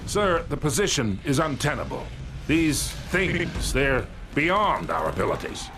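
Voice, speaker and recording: A man's voice: fairly high pitch, big pitch swings, a little slow. A man playing a shaken, defeatist military field commander in a video game, with noise behind the voice. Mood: grave, despairing. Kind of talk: video game cutscene acting.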